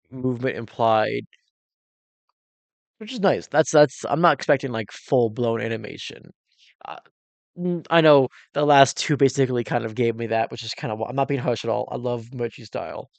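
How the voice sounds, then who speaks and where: A man's voice: mid-range voice, vocal fry, no room sound. A man giving casual, exclamatory reaction commentary as a cinematographer and host, thinking aloud informally while explaining technique.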